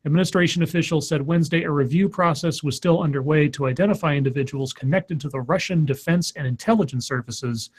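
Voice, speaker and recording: A man's voice: fairly high pitch, quick pace, hardly any pauses, breathy edge. Slightly scratchy-voiced man reading news. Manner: rambling, hesitant, sarcastic asides. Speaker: news reader on a stream. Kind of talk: casual chat and reading news stories.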